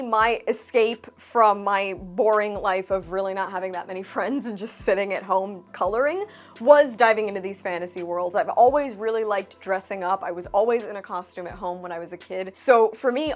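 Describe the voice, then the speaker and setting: A woman who grew up speaking Spanish, a YouTuber and cosplayer answering viewer questions in a candid, blunt, casual vlog with the odd swear word. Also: medium pitch.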